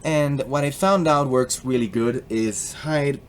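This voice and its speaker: A young man with a Colombian accent casually explaining a software tutorial, joking and slipping into put-on accents. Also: medium pitch, slow pace, a little hiss or hum. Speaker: tutorial host.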